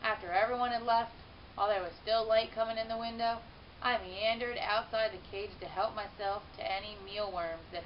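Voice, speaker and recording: A woman narrates a children's book with animated expression. She leans on key words and draws them out. The recording is dull, with a faint hiss behind her. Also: mid-range voice. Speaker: teacher reading a children's book aloud. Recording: muffled, hissy home-style recording.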